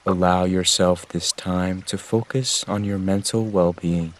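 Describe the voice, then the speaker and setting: Slow, low-voiced man guiding meditation. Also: fairly even intonation. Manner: gentle, measured, soothing instruction. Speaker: meditation guide. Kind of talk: guided meditation narration.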